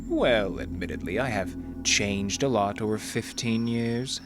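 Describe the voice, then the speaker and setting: A man's voice: lowish voice, unhurried, natural voice. An actor playing a suave, ageless undead man in a radio horror drama, with formal, silky, taunting delivery. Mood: suave, menacing. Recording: loud music under the voice.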